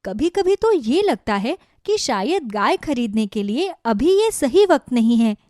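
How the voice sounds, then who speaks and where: A woman's voice: sits high, sing-song, unhurried, dark tone, no echo, clean studio sound. A woman voicing a patient, practical village wife in a cartoon story, reassuring and sensible. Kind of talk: Hindi cartoon moral-story dubbing.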